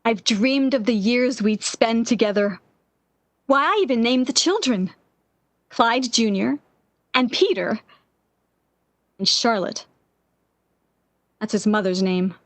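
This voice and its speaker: Actress as a high-voiced, melodramatic lovesick young woman. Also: slow pace, pauses often, no echo. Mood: wistful, despairing. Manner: melodramatic, yearning, impassioned. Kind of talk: 1940s radio drama acting.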